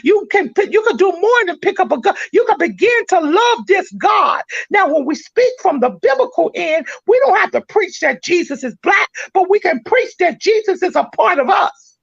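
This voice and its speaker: A woman hosting a church-minded talk show, speaking with fervent, preacher-like passion that climbs to shouted exhortation. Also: extremely high pitch, big pitch swings, moderate pace, vocal fry, no room sound. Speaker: podcast host. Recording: dry, dull lo-fi sound.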